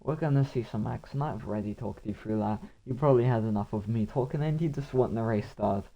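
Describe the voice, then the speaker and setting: A young male commentator calling a live online motor race in a hoarse, worn-out voice that has almost given out. His pitch sits in an ordinary middle range, and he rambles on and thinks aloud between bursts of action. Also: a little fast, muffled recording. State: hoarse, voice nearly gone. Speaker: sim racing commentator.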